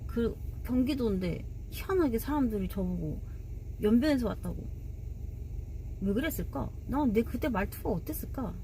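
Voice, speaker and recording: A woman's voice: fairly high pitch, lively intonation, moderate pace, poor audio. A woman from Gyeonggi Province chatting casually with her live viewers while driving, laughing often. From Gyeonggi Province, South Korea. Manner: chatty, laughs often, talks back to chat comments. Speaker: live streamer. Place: inside a car. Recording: loud car noise. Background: loud road and engine noise.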